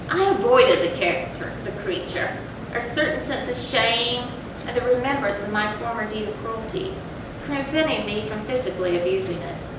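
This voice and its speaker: A woman playing a guilt-tormented narrator of a gothic horror tale in a deliberate, theatrical stage recitation. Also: lightly acted. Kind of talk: dramatic readers-theatre recitation of a gothic story. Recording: very echoey stage, distant mic, noisy rumble.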